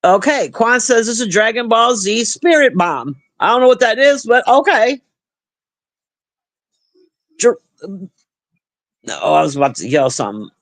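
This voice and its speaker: Deep-voiced woman, profane and blunt. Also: a little slow, long pauses. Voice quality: deep, husky smoker's voice. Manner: profane, blunt, animated banter. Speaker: livestream host reading chat. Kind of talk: casual livestream chat.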